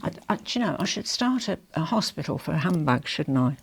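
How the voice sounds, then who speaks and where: An older woman with a croaky, hoarse throat, chatting casually to camera as a fashion vlogger, rambling with confiding asides. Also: deep voice, sing-song, fairly quick. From England.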